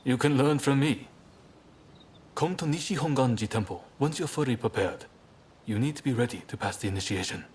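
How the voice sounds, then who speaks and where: An actor playing a composed, shrewd samurai spymaster with a polished, persuasive manner in a game. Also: male voice, mid-range voice, animated, brisk, a little mellow, slight background noise, natural voice. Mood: assured, persuasive. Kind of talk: video game cutscene acting.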